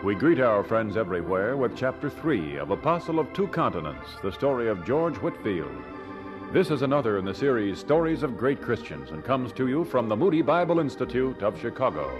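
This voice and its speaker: A man's voice: mid-range voice, sing-song, a little fast. A man announcing a scripted radio programme introduction in a formal delivery. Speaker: series announcer. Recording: organ music behind voice. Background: organ music.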